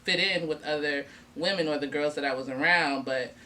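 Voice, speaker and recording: A woman's voice: sits low, a little fast, sharp tone. A young woman hosting a podcast, talking casually and directly with slang and asking questions. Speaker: podcast host. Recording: distant mic with faint noise.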